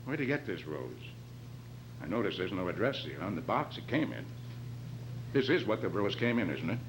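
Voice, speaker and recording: A man acting as a level-headed male radio detective, probing and authoritative. His fairly low voice moves in lively swings, over clear noise and hum from an amateur recording. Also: normal speed. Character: brisk, level-headed male private detective questioning a witness. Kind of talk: amateur recreation of old-time radio detective drama.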